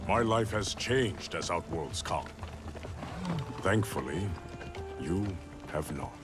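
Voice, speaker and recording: A man playing a stern, proud warrior emperor in a video game, speaking formally and gravely. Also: deep voice, animated, slow, distant mic, music in the background. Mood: wistful. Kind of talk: video game cutscene acting.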